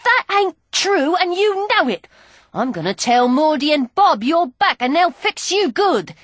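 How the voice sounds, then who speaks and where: A woman voicing a fierce, scrappy young woman who snaps back in sharp, heated bursts. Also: fairly high pitch, expressive, very slow, no room sound, exaggerated voice. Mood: indignant.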